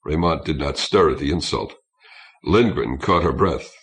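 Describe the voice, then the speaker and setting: A man's voice: rumbling low, moderate pace, a bit bright. A man reading a science fiction novel aloud as scripted audiobook narration.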